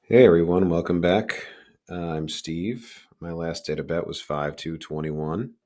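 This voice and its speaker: A middle-aged man hosting a casual solo podcast, with a raspy, hoarse voice and a candid, halting, informal delivery. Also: low voice, mellow. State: hoarse, recovering from lost voice. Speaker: podcast host.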